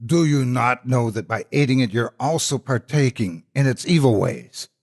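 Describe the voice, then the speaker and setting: A man's voice: medium pitch, lively intonation, normal speed, pitched above the performer's own voice. A man voicing a zealous woman demon hunter in a horror story, speaking in a grave, preachy, declamatory manner. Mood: urgent warning.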